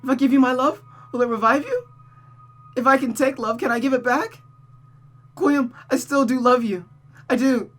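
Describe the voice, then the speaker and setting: A woman's voice: sits high, normal speed, long pauses, fairly bright tone, soft background music, pitched above the performer's own voice. A woman voicing a spiteful, unhinged young woman killer from a visual novel, dramatic, pleading and tearful. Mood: sobbing, grieving.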